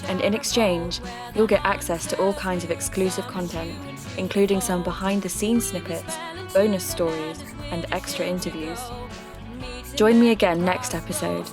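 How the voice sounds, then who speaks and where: A woman acting as a radio host presenting a ghost story podcast over a clear music bed. Her voice sits fairly low, and she narrates in a measured, reflective way, sceptical yet intrigued. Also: steady tone, lightly acted. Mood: inviting, presenting.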